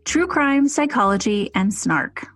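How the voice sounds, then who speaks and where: A woman with a husky voice delivering a scripted promo as a podcast co-host. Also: mid-range voice, lively intonation, unhurried, a bit bright, no echo, faint music behind.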